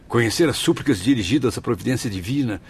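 A man's voice: mid-range voice, lively intonation, fast, husky. An actor as an earnest, emotional troubled man. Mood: amazed, questioning. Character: anguished, grateful male newcomer spirit in afterlife hospital. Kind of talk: radio drama acting.

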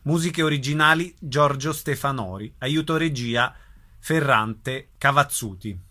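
A man's voice: medium pitch, unhurried, pushed and bright, slight background noise. A man reading credits as a scripted radio announcement.

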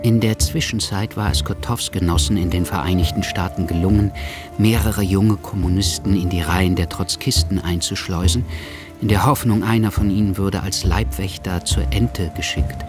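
Low-pitched male radio drama narrator. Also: steady tone, normal speed, music in the background.

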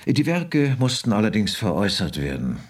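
Husky low stiff ceo type, guarded and formal, radio play, a bit far off. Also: male voice, huge pitch swings, normal speed, heavily acted. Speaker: company director general. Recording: distant mic with music behind.

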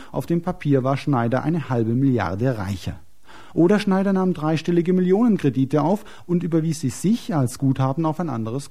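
A man's voice: fairly high pitch, dark tone. A man delivering scripted documentary commentary as narrator.

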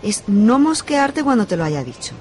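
A woman's voice: a little high, very animated, normal speed, a crowd in the background. An actress playing a pushy, urgent young woman in an amateur radio drama. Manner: urgent, bossy.

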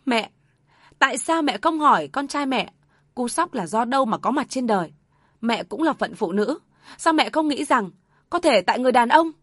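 A woman's voice: high-pitched, lively intonation, normal speed, husky, no echo, clean studio sound, pitched above the performer's own voice. Woman voicing a tearful defiant young wife.

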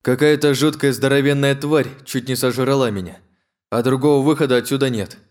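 A man's voice: mid-range voice, steady tone, brisk, darkish tone, pitched above the performer's own voice, lightly acted. A narrator voicing a wary, defensive Russian man with a sarcastic streak. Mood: pleading.

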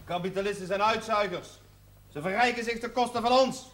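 A man's voice: sits high, brisk, fairly bright tone. An actor in a television period drama playing a blunt, combative working man, needling and scornful. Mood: angry, scornful.

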